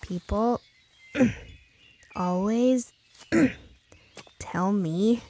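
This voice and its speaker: A woman's voice: mid-range voice, extremely slow, warm tone. Woman acting a huffy, stubborn young heroine. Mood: frustrated, huffy. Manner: gruff, huffy, emphatic. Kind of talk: audiobook character dialogue.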